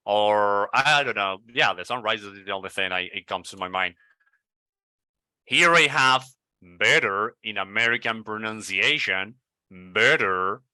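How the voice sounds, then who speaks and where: A man from Venezuela with a Venezuelan Spanish accent, coaching English pronunciation and repeating model words slowly and deliberately. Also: fairly low pitch, pushed and bright, dry recording, low-quality recording.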